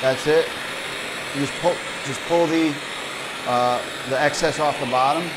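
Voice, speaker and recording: A man's voice: on the high side, fairly even intonation, measured pace, heavy background noise. A man in his thirties, a plumber, casually talking through a hands-on demonstration at a workbench, plain and step by step with fillers. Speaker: plumbing instructor. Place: indoor workshop bench.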